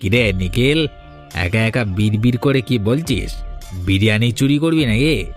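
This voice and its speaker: Man playing a low-voiced, grumpy cartoon food seller. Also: animated, measured pace, faint music behind. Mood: scornful. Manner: scolding, indignant grumbling. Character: grumpy, defensive street biryani seller, grown man. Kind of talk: cartoon folk-tale dialogue.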